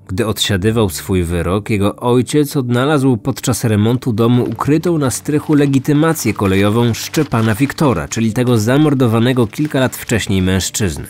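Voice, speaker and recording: A man hosting a true-crime podcast, narrating from a script in measured storytelling style. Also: medium pitch, a little mellow. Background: background music.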